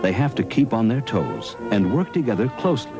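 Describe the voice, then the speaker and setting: A man's voice: on the low side, sing-song, a little fast, gravelly. A man narrating a mock-serious scripted television promo as its announcer. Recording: vintage TV promo, loud music.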